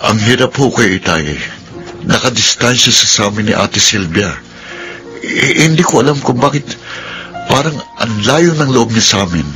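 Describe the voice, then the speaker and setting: A man's voice: mid-range voice, normal speed, pushed and bright, faint music behind, lightly acted. A Filipino man playing a troubled, puzzled grown son in a radio drama. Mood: troubled, questioning.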